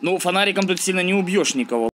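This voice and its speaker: A man's voice: high-pitched, lively intonation, fast, a bit bright. A man giving casual, slangy let's-play commentary, thinking aloud and grumbling as he plays. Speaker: gaming video host.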